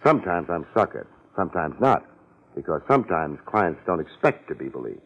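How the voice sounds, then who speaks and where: A man's voice: low voice, very animated, a little fast, breathy edge, heavily acted. A man playing a sardonic, wisecracking private detective in a 1950s radio drama, speaking in terse, clipped sentences. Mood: probing, sardonic. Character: hard-boiled wisecracking male private detective. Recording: muffled vintage 1950s radio recording.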